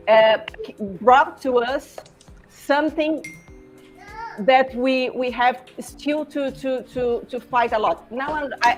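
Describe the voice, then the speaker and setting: A Brazilian woman in her forties speaks English with a Portuguese accent, her voice pitched a bit high. She talks very slowly, stopping and restarting as she hunts for the right English words. Faint music sits in the background. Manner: halting, searching for English words, many restarts. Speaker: podcast guest, former classmate. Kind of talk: casual conversation.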